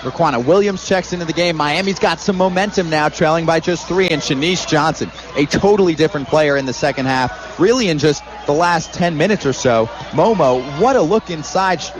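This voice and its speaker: A man's voice: a little high, brisk. A man giving explanatory color commentary and analysis during a live basketball broadcast from an arena.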